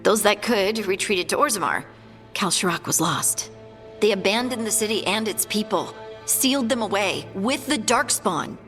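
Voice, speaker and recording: An actress playing an earnest, curious dwarf scholar in a video game, explaining things thoughtfully. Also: female voice, medium pitch, animated, normal speed, fairly bright tone, natural voice. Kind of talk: video game character acting. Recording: long hall reverb, faint music.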